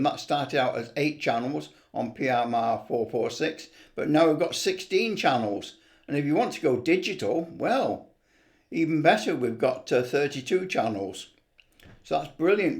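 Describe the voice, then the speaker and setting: A man's voice: on the high side, a little mellow. A middle-aged or older man talking casually and enthusiastically in a rambling, unscripted way as he presents a hobby video. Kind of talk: casual unscripted vlog talk.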